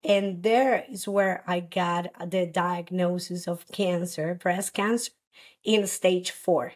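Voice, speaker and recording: Woman from Costa Rica, thirties. Earnest interview guest, halting delivery with frequent restarts. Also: on the low side, fairly even intonation. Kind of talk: personal story in an interview.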